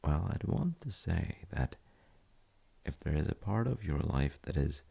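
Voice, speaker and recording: A man playing a gentle, thoughtful dad in an intimate audio roleplay, speaking hesitantly and softly with a gravelly, husky voice. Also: rumbling low, lively intonation, measured pace, full character voice. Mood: reflective, gentle. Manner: hesitant, musing, soft-spoken. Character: gentle, thoughtful dad comforting his child. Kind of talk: intimate audio roleplay, slow bedtime chat.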